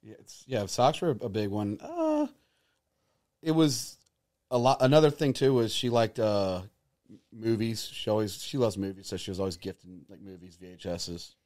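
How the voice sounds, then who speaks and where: A man's voice: fairly low pitch, sing-song, normal speed, darkish tone. A man in his thirties casually reminiscing as a podcast co-host. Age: 30s.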